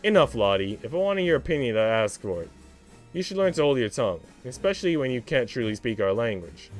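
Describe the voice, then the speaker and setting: Visual novel role: condescending senior maid, female, prim and cutting, very animated intonation, played by a man. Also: mid-range voice, a little fast, faint music behind, natural voice. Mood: stern, scornful. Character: haughty, cruel senior English maid, a woman.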